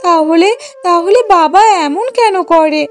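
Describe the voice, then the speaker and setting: A spoiled young woman in a cartoon family drama, voiced by an actress. Her voice sits very high, with a plaintive whine. She wheedles like a pampered daughter. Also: a little slow. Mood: hurt, whining. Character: spoiled, whiny young daughter of marriageable age. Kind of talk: Bengali moral-story cartoon dubbing.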